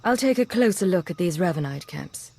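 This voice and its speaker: A woman's voice: lowish voice, expressive, fairly quick, vocal fry, a little mellow, slightly rough, exaggerated voice. A woman voicing a composed imperial spy in a video game, controlled and probing. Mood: businesslike. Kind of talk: video game character acting.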